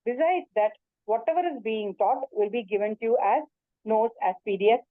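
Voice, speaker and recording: A woman yoga teacher answers questions in a webinar, earnest and prone to restarting her sentences. Her voice sits a little high and sounds dry and phone-thin, as over a video call. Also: moderate pace, dry recording. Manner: earnest, many fillers and restarts. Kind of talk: webinar talk and Q&A. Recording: tinny phone-like call audio.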